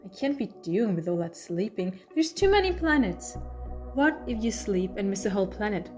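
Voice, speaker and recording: A woman's voice: medium pitch, big pitch swings, normal speed, darkish tone, soft background music, natural voice. A woman playing a playful, restless alien time traveller with a chatty, quirky manner in an audio drama. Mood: breezy, friendly.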